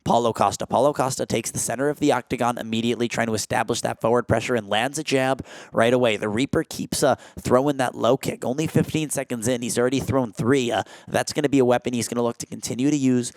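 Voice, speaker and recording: A young man calling a martial arts fight play-by-play, filling gaps with "uh" and jumping up in pitch and volume when big strikes land. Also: fairly even intonation, fast, dry recording. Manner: frequent "uh" fillers. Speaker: play-by-play commentator.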